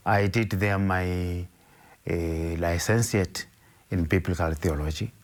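An older Zimbabwean man with a very low, deep voice. He speaks slowly and carefully in an interview, often pausing and restarting his sentences as he recalls his past. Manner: measured, hesitant, with frequent restarts. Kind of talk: interview, recounting his life.

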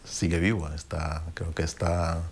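A man with a very low, deep voice tells his life story in an interview. He speaks in a reflective, unhurried way, pausing and restarting as he searches for words. Spanish is not his tongue. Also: flat delivery, slight background noise. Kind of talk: personal testimony in an interview.

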